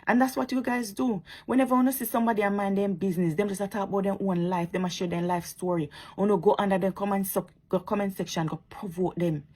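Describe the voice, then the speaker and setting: A woman's voice: mid-range voice, fairly even intonation, fast talker, hardly any pauses, darkish tone, husky. A woman with a Jamaican accent giving impassioned, preachy commentary to her social media viewers, repeating her points and turning sarcastic.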